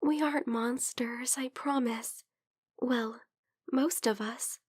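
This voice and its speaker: A woman playing a gentle, teasing female vampire friend who is unwell, speaking softly and hesitantly in an ASMR roleplay. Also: fairly high pitch, fairly even intonation, takes it slowly, slightly rough, dry recording, clean studio sound. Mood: hesitant, confessing. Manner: soft, hesitant, playfully teasing. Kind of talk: soft-spoken ASMR roleplay.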